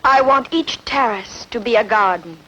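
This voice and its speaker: A woman's voice: on the high side, lively intonation, moderate pace, pushed and bright, highs cut off, a little hiss or hum. A woman playing an imperious, ruthless queen in a film dub, with a commanding regal delivery. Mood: regal, assured. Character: imperious, ruthless queen pining for lost lover.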